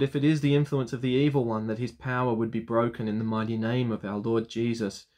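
A clergyman in his thirties speaks at his desk in a small study lined with bookshelves. His voice sits at an ordinary pitch for a man. He talks earnestly to his viewers and settles into a solemn, measured prayer cadence. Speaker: Anglican clergyman. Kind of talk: vlog talk leading a spoken prayer. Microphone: webcam framing at desk.

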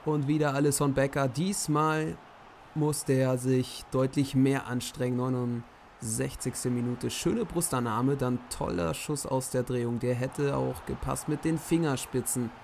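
Hoarse young man, gravelly voice, recaps matches like a commentator, faint noise behind. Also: medium pitch, unhurried. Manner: lively play-by-play summary. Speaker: host commentating simulated matches.

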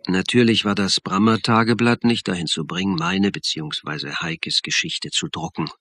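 An actor playing the wry first-person narrator of a radio crime play, speaking in a dry manner. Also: male voice, sits low, fairly even intonation, normal speed, bright, forceful tone. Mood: dry, reflective.

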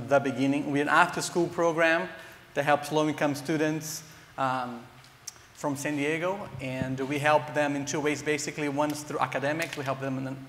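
A man's voice: on the high side, fairly bright tone, a little hiss or hum. A man in his thirties from Brazil with a Brazilian accent, speaking earnestly as a panelist on stage with frequent ums and restarts. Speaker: panelist, nonprofit director. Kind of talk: conference panel talk. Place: large conference hall stage. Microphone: lapel mic. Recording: echoey conference hall reverb.

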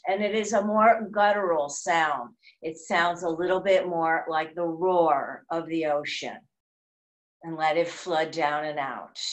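A woman teaching yoga, leading a guided meditation in a soothing, measured way with gentle pauses between phrases. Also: on the low side, poor audio.

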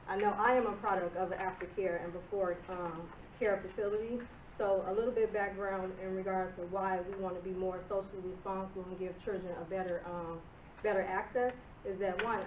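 A woman in her thirties stands before a village board to present a proposal. She is nervous, restarts her sentences and laughs at herself. Her voice comes from across a roomy meeting hall with faint hiss. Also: mid-range voice. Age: 30s. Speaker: business presenter. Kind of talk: public comment at a meeting. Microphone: far camera. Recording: distant roomy lo-fi meeting video.

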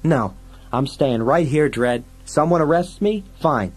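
Audiobook sidekick: male narrator as motor-mouthed male crook, high, husky, aggrieved, wisecracking, easily panicked. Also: measured pace. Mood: indignant. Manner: motor-mouthed, complaining, stammering. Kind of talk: dramatised audiobook science-fiction dialogue.

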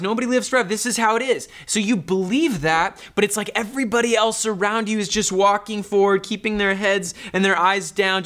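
A man's voice: high-pitched, fairly bright tone. A man in his thirties, a pastor, preaching a sermon in an earnest, conversational storytelling manner. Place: dark studio, indoors.

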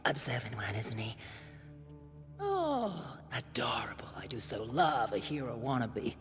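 A woman playing a sultry, sadistic policewoman villain with a breathy, mocking voice in a video game cutscene. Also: a bass voice, very animated, a little slow, exaggerated voice. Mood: sultry mockery.

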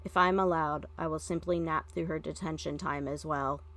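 Storybook teen bird-headed boy, drowsy, formal, lowish female-played voice, dry recording. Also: fairly even intonation, a little fast, a bit bright, no room sound. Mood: resigned, solemn. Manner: formal, tired.

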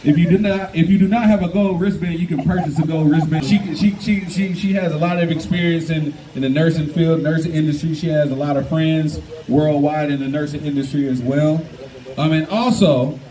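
A man's voice: on the high side, fairly even intonation, brisk, recorded from a distance, poor audio. A man emceeing an event, hyping up the crowd. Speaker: emcee and older brother.

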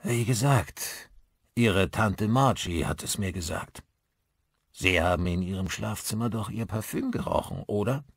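A man voicing an aging, eccentric rocker turned spirit medium in an audiobook, speaking in a halting, urgent, intense way. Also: fairly low pitch, animated, dry recording. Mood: knowing.

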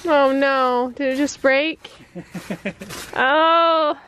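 A woman's voice: high voice, drawn-out words, a bit bright, a little hiss or hum, poor audio. A woman in her thirties from the United States, chatting casually to a handheld camera outdoors on a backyard farm, laughing at herself as things go wrong. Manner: chatty, self-deprecating, laughs often. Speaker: homestead vlogger. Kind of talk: casual vlog narration. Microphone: handheld camera at arm's length.

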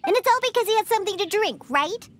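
A woman's voice: extremely high pitch, animated, fast, dry recording. A woman voicing a sassy, grumpy cat-eared little girl bartender in a video game, huffy and haughty. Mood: exasperated, determined. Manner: huffy, haughty, emphatic delivery. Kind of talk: scripted video game dialogue. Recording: music behind. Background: background game music.

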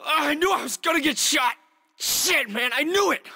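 A man playing an excitable, urgent soldier in a video game, shouting battle warnings in a gravelly voice with long reverb. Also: very high voice, moderate pace, full character voice. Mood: urgent, alarmed. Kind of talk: video game combat acting.

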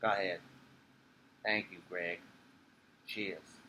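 Stage play: aged, blind former fighter, voiced by a man, low-toned, wistful, clipped street talk. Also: fairly even intonation, slow, sharp tone, slight background noise, full character voice, low-quality recording. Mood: wistful, coaxing.

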